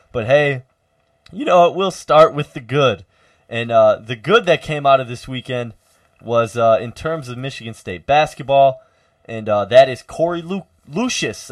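A young man hosting a college radio sports talk show, loud and energetic, halting with many fillers. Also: medium pitch, no echo, studio quality.

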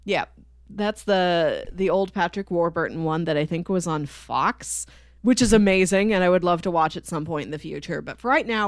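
A woman's voice: fairly low pitch, no echo, clean studio sound. A woman chatting casually as co-host of a podcast.

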